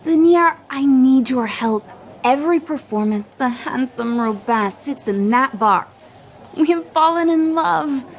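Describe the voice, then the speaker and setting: High-voiced, lovestruck girl cadet. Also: animated, a little slow, slight background noise. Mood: pleading. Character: lovestruck, earnest young woman cadet, honest and naive. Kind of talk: radio theatre acting.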